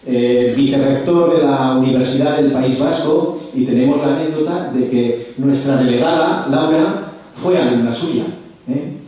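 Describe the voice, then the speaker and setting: A man's voice: medium pitch, a little slow, dark tone, recorded from a distance. A man introducing a lecture speaker formally, hesitating with many fillers and restarts. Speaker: lecture presenter. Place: large echoey lecture hall. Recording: echoey hall, speaker off-mic.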